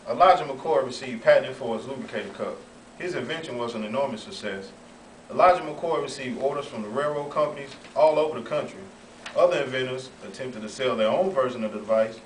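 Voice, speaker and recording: A man reading scripted narration aloud as the narrator of an amateur sketch. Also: medium pitch. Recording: roomy, distant, faintly noisy recording.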